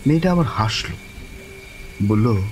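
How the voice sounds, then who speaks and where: A man playing a courteous young man who narrates his own eerie encounter in a horror audio story, lingering and expressive. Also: mid-range voice, a little slow, lightly acted. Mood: uneasy. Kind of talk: dramatic first-person horror story narration.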